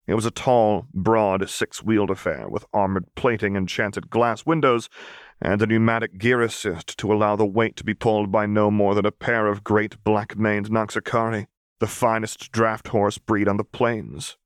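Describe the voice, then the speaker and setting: Low-voiced man narrating fiction. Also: steady tone, moderate pace, clean tone, no echo, studio quality. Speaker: audiobook narrator. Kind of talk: scripted dramatic fiction narration.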